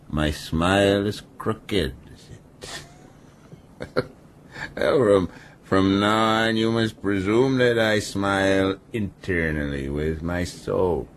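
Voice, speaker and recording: Slow, deep-voiced ailing, sardonic sea captain. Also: male voice, a little hiss or hum, full character voice. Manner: mocking, deliberate, philosophical. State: ailing, weakened. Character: brutal, sardonic ship's captain, ailing and half-paralyzed, defiant. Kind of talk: radio drama acting.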